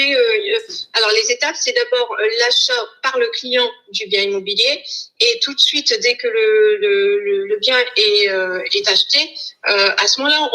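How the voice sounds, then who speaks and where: A woman from the Hauts-de-France with a bright, crisp voice works as a real estate agent pitching her services. She explains slowly and often pauses on fillers while keeping a confident tone. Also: poor audio. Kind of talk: sales call explanation.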